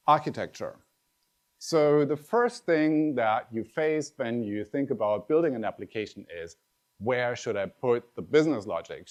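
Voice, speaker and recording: A man whose first language is German gives a tech conference talk at an even, middle pitch. He speaks to the room in a lively, conversational way and turns to the audience with questions. He sounds middle-aged.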